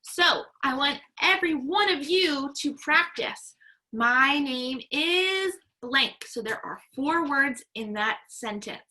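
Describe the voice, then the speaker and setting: A woman teaching young children in a read-aloud lesson, encouraging them with sing-song, drawn-out key words and simple instructions. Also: high-pitched, lively intonation, bright, forceful tone, rough recording. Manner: encouraging, praising young learners.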